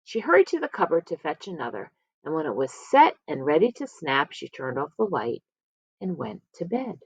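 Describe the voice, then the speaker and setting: A woman reading a children's picture book aloud as a storyteller, expressively, with dramatic pauses and emphasis. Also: sits low, sing-song, vocal fry, husky, dry recording. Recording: slightly dull, lo-fi close recording.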